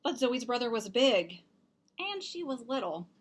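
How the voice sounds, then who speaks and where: A woman reading a children's picture book aloud, expressively and deliberately, with emphasized words. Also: a little high, sing-song. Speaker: storybook reader and host.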